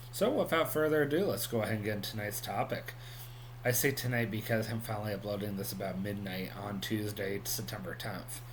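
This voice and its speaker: A man's voice: mid-range voice, a little hiss or hum. A man in his thirties talking casually on his solo vlog at home, rambling with many ums and restarts. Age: 30s. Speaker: vlogger.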